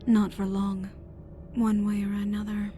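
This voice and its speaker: A woman's voice: medium pitch, completely flat delivery, unhurried, dark tone, faint music behind, lightly acted. A woman playing a cool, confident, hard-edged female agent, terse and wry, in a dramatized audiobook. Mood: defiant, terse. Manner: terse, self-assured inner monologue.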